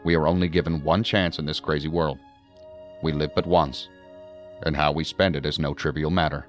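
A senior federal director in a futuristic radio play. His voice is exceptionally deep, and he talks with measured, formal authority. Light music sits underneath. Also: steady tone. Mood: tender, solemn. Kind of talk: audio drama acting.

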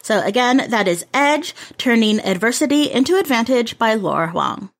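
A woman co-hosting a book podcast, giving measured, informative book reviews. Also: on the high side, big pitch swings. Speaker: podcast host. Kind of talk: book review, read-through summary.

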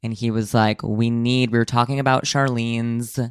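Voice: mid-range voice, flat delivery, normal speed, clean tone, no room sound, clean studio sound. A co-host of a podcast talking casually, retelling conversations with friends and laughing often. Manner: laid-back, storytelling, laughs often. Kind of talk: casual chat.

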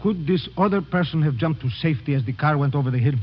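A man's voice: fairly high pitch, slightly rough. An actor playing a stern, precise, formal male police lieutenant in an old radio drama. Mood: stern, brisk. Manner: brisk, officious, precise formal phrasing. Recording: dull, noisy old radio recording.

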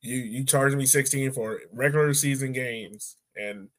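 Male podcast sidekick, medium-pitched, relaxed conversational delivery, slightly halting phrasing. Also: poor audio. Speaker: soccer podcast co-host. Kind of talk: casual podcast chat.

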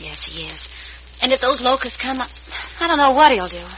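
An anxious young frontier woman, high voice. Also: very animated, a bit bright. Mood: worried. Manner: hesitant, halting. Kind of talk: old-time radio melodrama acting. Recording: noisy, muffled vintage radio recording.

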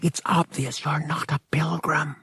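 A man voicing an earnest, devout young female pilgrim in an audiobook, reserved, wistful and softly spoken. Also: a little high, little pitch movement, unhurried, gravelly, husky, dry recording, voice pushed up, exaggerated voice. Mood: hushed, guarded. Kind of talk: audiobook character acting.

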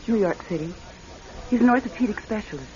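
A woman's voice: mid-range voice, animated, fast talker, warm tone, close to an everyday voice. An actress playing a reserved, polite divorced career woman who also narrates her own story in a classic radio drama style. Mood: polite, guarded. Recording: dull, lo-fi old radio recording.

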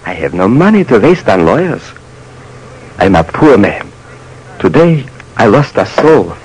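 Earnest old pawnbroker, wildly swooping voice. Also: male voice, on the low side, big pitch swings, measured pace, a little mellow, slight background noise, low-quality recording. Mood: resigned. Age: older. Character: poor old pawnbroker who lends money on souls. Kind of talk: old-time radio drama acting. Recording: vintage radio broadcast.

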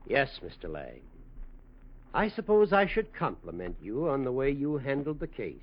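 A muffled vintage radio recording of a stern male judge. He speaks formally and with measured disapproval, at a medium male pitch. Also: big pitch swings. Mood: disapproving. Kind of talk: 1940s radio drama acting. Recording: dull old radio recording.

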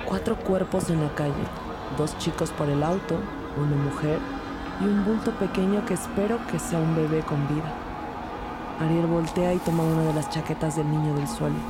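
A woman playing a brisk police detective who narrates her own case in a scripted audio drama with measured delivery. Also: low voice. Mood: composed, alert. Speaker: narrator and lead actor. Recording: loud music bed under voice. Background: loud music.

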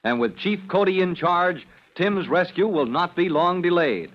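A man's voice: sits high, lively intonation, a little slow. A man narrating as a serial announcer in a dramatic, declamatory old-time radio style. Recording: muffled old radio recording.

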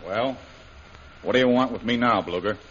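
An actor playing a captive jungle adventurer in 1930s radio style, gruff and clipped. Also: male voice, mid-range voice, moderate pace, a bit bright. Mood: defiant. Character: defiant, bitter male jungle adventurer held prisoner. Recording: muffled, hissy old radio recording.

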